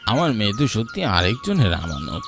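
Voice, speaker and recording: Cartoon landlord male performer, middle-aged, proud and fatherly, earnest, lowish pitch, music underneath. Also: sing-song, normal speed, gravelly. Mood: remorseful. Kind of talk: Bengali animated folk tale dialogue. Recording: music behind the voice.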